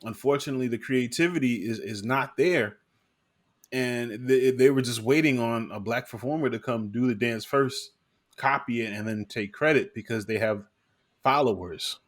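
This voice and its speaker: A man's voice: medium pitch, normal speed. Earnest man in casual discussion. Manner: earnest, many restarts and "you know". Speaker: podcast co-host.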